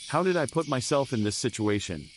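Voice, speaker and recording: A man's voice: mid-range voice, animated, brisk, echoey room, big-room sound, slight background noise, pitched above the performer's own voice, lightly acted. A man who speaks English as a second language, voicing a hapless young traveller muttering to himself in a story. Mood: dismayed. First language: not English.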